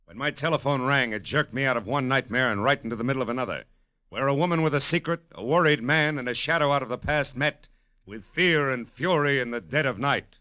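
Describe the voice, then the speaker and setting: An actor playing a world-weary, hard-boiled American private eye with a wry, terse manner in 1940s radio noir. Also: male voice, mid-range voice, a little fast, slight background noise, close to an everyday voice. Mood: wry, dramatic. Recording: old radio recording with loud music behind.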